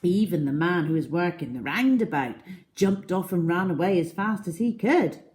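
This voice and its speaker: A woman telling a children's story in an expressive, deliberate way with dramatic emphasis. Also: fairly low pitch.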